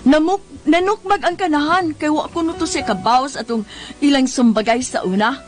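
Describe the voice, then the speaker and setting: An actress with a Cebuano Filipino accent playing an exasperated woman who scolds with drawn-out, emphatic words in a comedy radio drama. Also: high-pitched, faint music behind, natural voice. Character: exasperated scolding woman nagging a hot-headed man.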